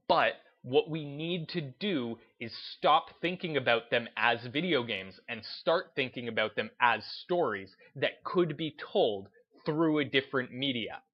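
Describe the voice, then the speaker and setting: A man's voice: mid-range voice, dull audio. A man giving casual opinion commentary as a video host, emphatic and repeating phrases for stress.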